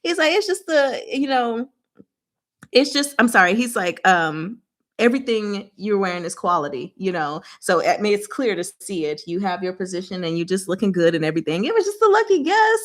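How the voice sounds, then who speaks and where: Female book-discussion host, medium pitch. Informal, lively and giggly, retells scenes in slangy speech with acted-out lines. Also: a little fast.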